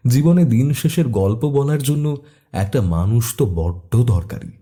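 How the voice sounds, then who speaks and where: A man's voice: medium pitch, warm tone. A man narrating a scripted detective story as an audio storyteller.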